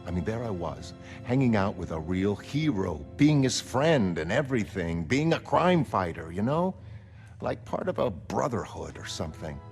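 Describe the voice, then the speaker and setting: Comic-book drama: male actor as earnest ex-vigilante, middle-aged, low voice, low-key and wistful, music underneath. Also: sing-song, moderate pace, vocal fry, a little mellow. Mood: wistful, nostalgic.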